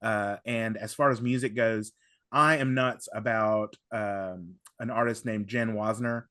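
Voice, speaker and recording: A man talking casually as a podcast guest, giving recommendations in an effusive, halting way with emphatic superlatives. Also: lowish voice, steady tone, fairly bright tone, no echo, clean studio sound.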